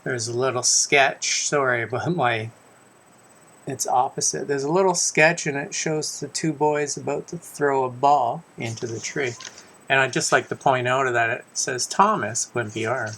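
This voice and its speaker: A man's voice: mid-range voice, unhurried, a bit bright. A man reads his own children's story aloud in the first person, conversationally, with casual asides and small self-corrections. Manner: conversational, stumbles and corrects himself.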